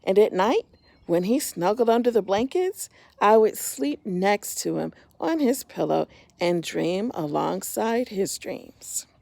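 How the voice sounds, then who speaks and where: A middle-aged woman reading a picture book aloud to children, pausing to point out the pictures. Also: medium pitch, animated, gravelly, dark tone, no room sound. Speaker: homeschool story reader.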